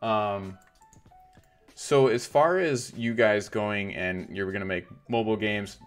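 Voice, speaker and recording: Man, stream host, low voice, rambling, heavy fillers, casual. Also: slow pace, soft background music. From Los Angeles area. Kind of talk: casual interview chat.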